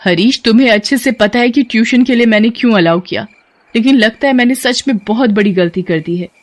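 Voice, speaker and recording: A woman's voice: a little high, brisk, lightly acted. A woman playing a stern young female professor. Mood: lecturing. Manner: stern, lecturing, sharp warnings. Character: strict young female professor, firm and scolding.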